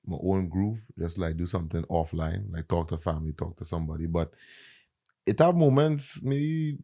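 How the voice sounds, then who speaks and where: A man with a Trinidadian accent, a content creator and comedian, chatting in an interview and telling stories with lively emphasis. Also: low voice, normal speed. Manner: animated, emphatic storyteller. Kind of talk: casual interview chat.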